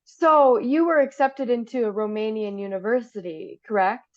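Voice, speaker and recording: A woman's voice: on the high side, normal speed, highs cut off. A woman hosting an interview, listening closely and asking follow-up questions with frequent short affirmations. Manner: attentive, frequent short backchannel replies. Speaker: podcast host. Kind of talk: interviewing a guest.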